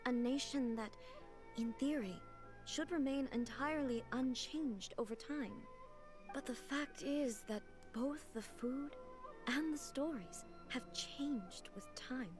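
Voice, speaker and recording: A woman's voice: high-pitched, music in the background. An actress playing a dignified, reserved female deity ruler in a video game, speaking formally and earnestly. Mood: solemn, reflective. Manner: formal, measured, articulate.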